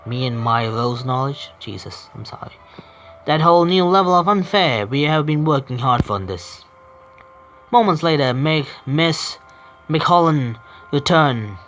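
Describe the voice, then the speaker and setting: A man's voice: a little high, animated, measured pace, a bit bright, slight background noise. Man reading a game aloud, stumbling. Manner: stumbling, self-correcting reading. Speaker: streamer. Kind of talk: reading a visual novel aloud.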